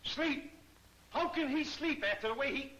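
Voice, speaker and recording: High, sharp, blustering official. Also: male voice, normal speed. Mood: indignant. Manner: sarcastic, indignant. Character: irate, scornful blustering official. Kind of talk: 1940s movie serial acting. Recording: old film soundtrack with faint hiss.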